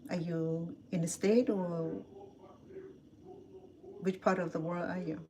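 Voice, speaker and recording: A woman's voice: on the low side, fairly even intonation, slow pace, dark tone, slight background noise. A middle-aged woman from Cambodia with a Cambodian accent, chatting casually with livestream viewers while cooking in her home kitchen. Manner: chatty, answers viewer comments, laughs often.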